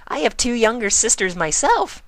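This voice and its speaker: A woman voicing a bubbly, excitable young female pony with gushing, emphatic, childlike talk in a story reading. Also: fairly high pitch, normal speed, no room sound, voice pushed up. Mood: friendly, reassuring.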